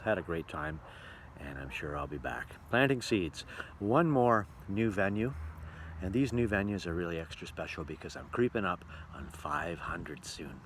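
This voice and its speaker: A man's voice: sits low, moderate pace. A man talks casually to his video diary. He is chatty and rambling, as if amusing himself, with faint noise around him. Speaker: travelling musical entertainer and vlogger.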